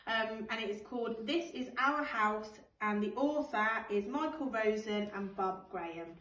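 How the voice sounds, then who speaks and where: Unhurried woman storyteller in an echoey room. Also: medium pitch, a little hiss or hum. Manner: expressive, measured storytelling. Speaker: primary school teacher reading a bedtime story. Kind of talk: picture-book narration for young children. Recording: roomy, distant microphone.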